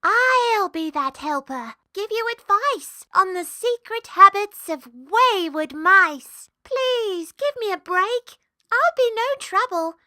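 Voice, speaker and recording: A woman voicing a small, stubborn, wheedling male mouse in a squeaky voice for a children's storybook. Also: lively intonation, takes it slowly, pushed and bright, no room sound, studio quality, exaggerated voice. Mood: pleading, wheedling. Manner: pleading, eager.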